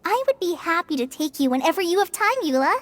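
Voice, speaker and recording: A woman voicing a shy, earnest young woman ranger in a video game, speaking gently and encouragingly. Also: very high-pitched, very animated, fast, slight background noise.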